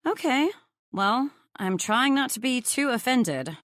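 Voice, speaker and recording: A woman's voice: high-pitched, lively intonation, slow, a bit bright, no room sound, clean studio sound, voice pushed up, exaggerated voice. A woman voicing a blunt, nosy young female best friend in an audiobook, gushing and teasing in exclamations. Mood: playful, prying. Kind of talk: audiobook character dialogue.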